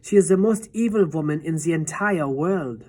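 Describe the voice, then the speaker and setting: A woman's voice: low voice, normal speed. A woman doing a cool, loving old grandmother. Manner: calm, cool, affectionate.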